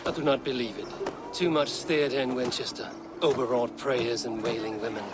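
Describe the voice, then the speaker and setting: A man playing a stoic, blunt Norse warrior in a game cutscene, terse and measured, with dry wit. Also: medium pitch, steady tone, very noisy, lightly acted. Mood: suspicious, resolute. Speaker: player character. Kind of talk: dramatic game cutscene dialogue. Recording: music playing behind.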